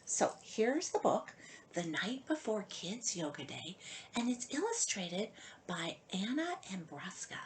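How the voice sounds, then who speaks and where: A woman children's author with a slightly husky voice, reading a storybook aloud to young listeners and chatting to them in an animated way. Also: fairly low pitch, slight background noise. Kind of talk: casual intro and expressive storybook reading to children.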